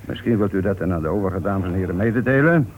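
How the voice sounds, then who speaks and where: An actor playing a composed, dryly polite police inspector in a radio play, measured and insistent in his questioning. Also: deep voice, lively intonation. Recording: tinny, noisy old radio play recording.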